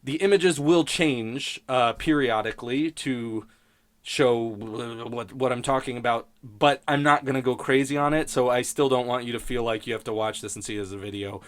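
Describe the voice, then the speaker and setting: A man's voice: mid-range voice, normal speed. A man hosting a podcast in casual, improvised rambling, with a sardonic, profane and self-mocking way of talking. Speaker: podcast host.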